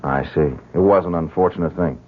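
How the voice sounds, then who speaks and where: An American actor playing an earnest, suspicious young English instructor in an old-time radio drama, speaking in a polite, hesitant, probing way. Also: male voice, deep voice, a little fast, narrow, tinny audio. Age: young adult. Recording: thin, band-limited vintage radio recording.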